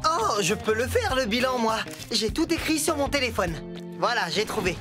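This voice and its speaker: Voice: mid-range voice, big pitch swings, normal speed, close to an everyday voice. An actor voicing a scatterbrained, good-natured young builder in a French cartoon, hesitant and apologetic. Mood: flustered. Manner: hesitant, bumbling. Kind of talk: French animated cartoon dub. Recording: very loud music under the voice.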